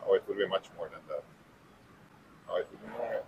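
A man in his thirties with a low voice, thinking aloud and correcting himself as he answers. His voice sounds somewhat distant from the mic. Clear street noise is heard behind him. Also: a little fast. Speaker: interviewee. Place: outdoor city street. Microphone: handheld mic, a little away from him.

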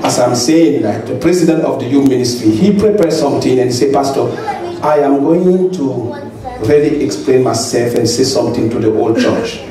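A middle-aged man with a Cameroonian accent, a pastor addressing his congregation, animated and punctuating with hallelujah and amen. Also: medium pitch, moderate pace. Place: large church hall stage. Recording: echoey hall with crowd, from far off.

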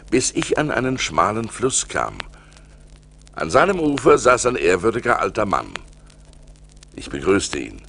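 An actor playing a seafarer who recounts his perilous adventures in a theatrical radio play style, moving between hushed and urgent. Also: low-pitched, slight background noise, exaggerated voice. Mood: grave. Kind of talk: dramatic radio play narration. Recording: vinyl record transfer with music behind.

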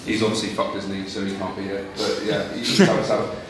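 A man with a low, flat voice speaks informally to a room and swears as he hands out thanks and awards. He is captured from a distance in a very echoey hall, with background noise clearly present. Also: fast. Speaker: event organiser giving awards. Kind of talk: speech to a room. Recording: echoey hall heard from far off.

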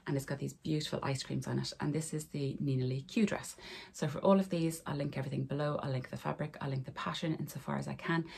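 Woman, thirties, hoarse with a cold, very low voice, faint room hiss. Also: darkish tone. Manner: friendly, rambling, talks to camera while showing garments. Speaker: sewing vlogger. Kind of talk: casual chatty vlog. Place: small bedroom sewing room, indoors. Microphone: camera in front of her at desk.

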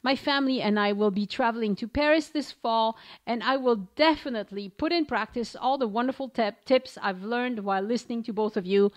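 A woman's voice: a little high, a little fast, few pauses. A woman hosting a travel podcast, chatting casually, laughing often and stumbling over listener names as she reads reviews aloud. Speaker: podcast host.

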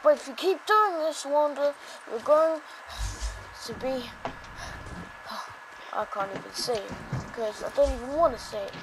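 Woman acting a male sorcerer hero, boastful, exasperated, slow halting delivery, game roleplay, noisy recording. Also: sits high. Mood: scornful. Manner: halting, improvised, exclaiming. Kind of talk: amateur improvised game roleplay film. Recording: noisy amateur game-capture audio.

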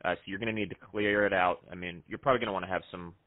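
A man puts on a cocky small-time jet ski daredevil with a low, dry, close voice. He rambles in a folksy, self-important way and keeps insisting, as on an improvised prank call. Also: steady tone, rapid-fire, no echo, phone-quality sound, lightly acted. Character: cocky, casual county-famous male jet ski stuntman. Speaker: prank caller.

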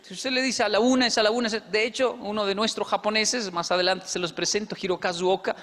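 A man with a Colombian accent speaks high in his range, holding a handheld mic on a large indoor stage. He tells lively stories to an audience and acts out the dialogue with quick asides. A long reverb from the hall trails after his words. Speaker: motivational speaker. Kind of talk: stage talk with storytelling. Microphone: handheld microphone.

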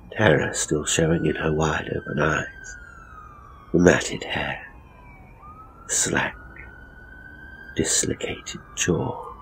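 A man playing a hapless, theatrical ghost tour guide in a horror audio drama, British, uneasy and hesitant, with dramatic pauses. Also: a bass voice, a little hiss or hum, full character voice, low-quality recording. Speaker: horror storyteller and voice actor. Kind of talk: dramatic first-person audio drama monologue.

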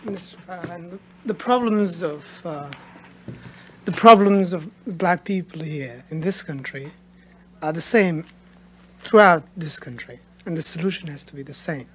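A man's voice: fairly high pitch, measured pace, a bit bright, a little hiss or hum. A young man from Somalia in a studio audience, asking a question at a stand mic, hesitant and searching for words. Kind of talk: unscripted question.

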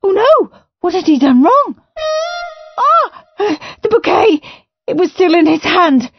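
A woman's voice: very high-pitched, very animated, takes it slowly, no room sound, lo-fi sound, soft background music, pitched above the performer's own voice, exaggerated voice. A woman voicing an anxious, well-behaved little boy who is flustered and eager to please, in a storybook reading. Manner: mumbling, then panicky wailing.

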